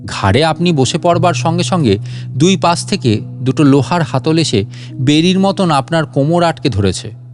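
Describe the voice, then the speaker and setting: A man voicing a sly gentleman inventor with a mock-courteous, teasing manner in a story reading. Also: on the high side, animated, normal speed, soft background music, lightly acted. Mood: smug. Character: sly, mock-polite gentleman inventor who traps thieves.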